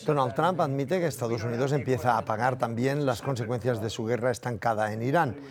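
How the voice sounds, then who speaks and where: A man's voice: medium pitch, a little fast, crowd noise. A man with a Castilian Spanish accent presenting the news in a scripted reading style.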